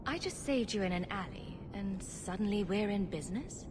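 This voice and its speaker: Woman doing a sarky confident sellsword girl for a fantasy game, medium pitch, a bit of noise behind. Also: animated, normal speed, close to an everyday voice. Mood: wry. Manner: dry, sardonic. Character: wry, self-assured young woman mercenary. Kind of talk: video game voice acting.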